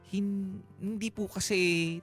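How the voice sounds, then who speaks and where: High-pitched Filipino man giving radio advice. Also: fairly even intonation, slow pace, a bit bright. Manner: emphatic, playful, Taglish code-switching, rhetorical tag questions. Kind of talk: casual radio advice talk. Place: radio studio. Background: background music.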